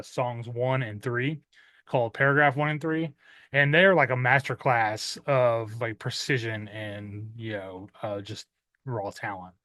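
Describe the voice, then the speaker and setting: Man, thirties, mid-pitched, dry close sound, rambling filler-heavy chat, throat clearing. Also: a bit bright, no room sound. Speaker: metal music vlogger. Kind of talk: casual unboxing chat.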